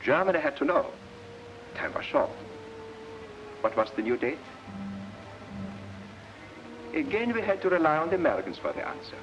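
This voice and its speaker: An actor playing a gloating, contemptuous Nazi agent who narrates a 1940s propaganda film in a clipped, imperious manner. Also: male voice, a little high, big pitch swings, a little fast, noisy background. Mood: urgent. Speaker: narrator of a military training film. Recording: muffled 1940s film soundtrack, music behind.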